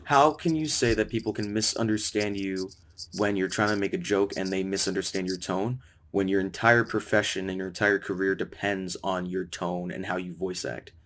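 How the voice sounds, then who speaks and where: A man's voice: low voice, steady tone, moderate pace, fairly bright tone, slight background noise. A man grilling someone with pointed, interrupting questions, openly frustrated. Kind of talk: confrontational questioning.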